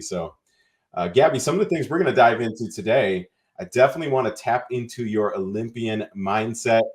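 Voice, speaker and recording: A man's voice: medium pitch, a little mellow. A man hosting a fitness podcast, talking in an upbeat, conversational way with plenty of fillers. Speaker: podcast host. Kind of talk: podcast interview.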